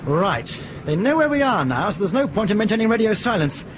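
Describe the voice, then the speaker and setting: High-voiced, firm airline captain. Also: male voice, very animated, a little fast, a little hiss or hum. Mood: businesslike. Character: airline captain commanding a seized ship, firm, dry, principled. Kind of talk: radio drama acting.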